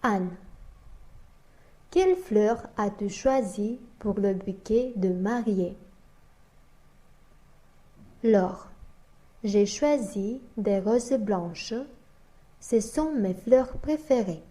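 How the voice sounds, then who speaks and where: A woman slowly reading a scripted learner dialogue aloud, naming each speaker before their line. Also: mid-range voice, lively intonation, mellow. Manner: slow, deliberate, reads both parts and announces each speaker.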